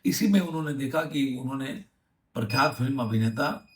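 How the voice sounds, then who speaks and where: A man giving unscripted film news commentary, halting and repeating himself as he rephrases. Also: mid-range voice, lively intonation. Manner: halting, repetitive, rephrasing as he goes. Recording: echoey room, distant microphone.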